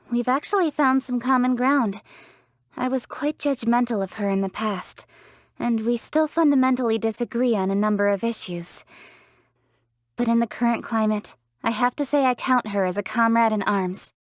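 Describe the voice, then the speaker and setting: A woman's voice: a little high, normal speed, no echo, studio quality, close to an everyday voice. An actress playing a confident, proud young woman leader in a fantasy video game, speaking in an articulate, earnest, self-assured way. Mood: measured, candid. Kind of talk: scripted video game character voice lines.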